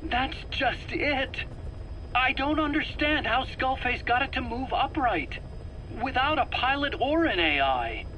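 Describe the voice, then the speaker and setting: A man's voice: very high voice, lively intonation, unhurried, bright, forceful tone, exaggerated voice, low-quality recording. A man playing a defensive, rambling weapons scientist under interrogation in a video game, hesitant and prone to stammering as he explains. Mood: baffled, agitated. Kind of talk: dramatic video game voice acting. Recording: faint background noise on cassette recording.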